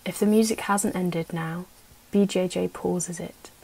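A woman's voice: on the low side, steady tone, unhurried, gravelly, a little mellow, breathy edge, rough recording. A woman narrating scripted stage directions for a radio play.

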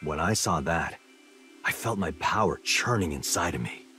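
An actor playing a cool, deadpan, wisecracking special agent in a video game. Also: male voice, sits low, moderate pace. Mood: earnest recounting. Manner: deadpan, dryly joking.

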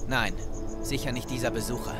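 A man's voice: medium pitch, fairly even intonation, fairly quick, loud music behind the voice, close to an everyday voice. An actor playing a secretive male agent hunting a demon, terse and commanding, in an audio drama. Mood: grim.

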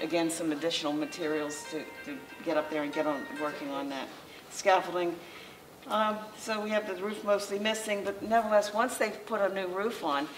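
Older woman lecturing from a distant mic. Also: on the low side. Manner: conversational, halting with frequent restarts and fillers. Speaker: local historical society president giving a lecture. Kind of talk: informal slideshow lecture. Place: library meeting room, indoors, small audience. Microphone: far camera, masked speaker. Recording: distant camera mic with faint room noise.

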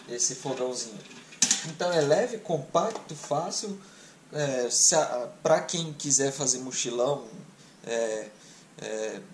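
A man's voice: medium pitch, roomy sound, slight background noise. A man in his thirties from Brazil giving a frank, casual product review beside his car, chatting with many fillers. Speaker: travel vlogger. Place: garage beside an open car trunk.

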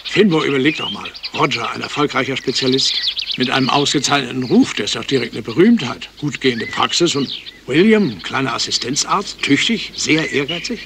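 A detective's curious friend in a vintage radio thriller. His gravelly voice reasons deliberately and insinuatingly. Birdsong and clear noise sit behind him. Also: mid-range voice, expressive. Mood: reasoning. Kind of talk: 1970s German radio crime drama acting.

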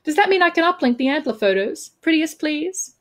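A woman voicing a cheerful, cheeky spaceship rescuer of about thirty, warm and breezy, in a story reading. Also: mid-range voice, animated, fast talker, close to an everyday voice. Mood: playful pleading.

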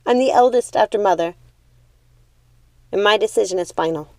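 A woman voicing a calm, resolute eldest witch sister in a storybook, speaking firmly and deliberately. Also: mid-range voice, no echo, lightly acted.

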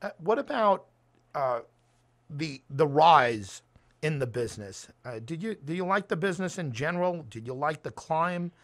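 A man hosting a radio talk show, interviewing conversationally and feeling his way haltingly into each question. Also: on the high side, expressive.

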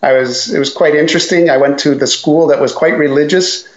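A man from Newfoundland, Canada, telling his life story as a guest in a casual interview, with many pauses and fillers. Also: on the high side, reverberant, muffled recording.

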